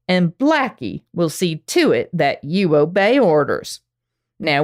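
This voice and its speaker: A woman playing a commanding outlaw gang boss, animated delivery. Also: fairly low pitch. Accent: American. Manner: commanding, folksy. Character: bossy female outlaw gang leader of the Old West. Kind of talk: radio western acting.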